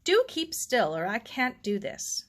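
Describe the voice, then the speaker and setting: An earnest, romantic schoolgirl, played by a woman narrator, with a fairly high voice. She speaks plainly, with a slightly exasperated edge, like a character in a storybook. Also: big pitch swings, measured pace. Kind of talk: children's novel read aloud.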